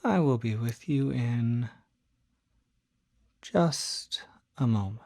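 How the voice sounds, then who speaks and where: A courteous, reassuring demon doctor, played by a man in an ASMR roleplay. The delivery is very slow and gentle, with a politely formal bedside manner that often slips toward a whisper. The tone is dark and relaxed, captured close and dry. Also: medium pitch, creaky, dry recording, heavily acted. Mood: gentle, welcoming. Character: courteous, soft-spoken male demon doctor, fussy and reassuring. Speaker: doctor at a supernatural clinic.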